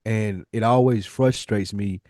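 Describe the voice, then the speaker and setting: A man's voice: lowish voice, steady tone, measured pace, a little mellow, dry recording, studio quality. A man co-hosting a podcast in casual chat, with an emphatic, informal way of talking. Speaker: podcast co-host.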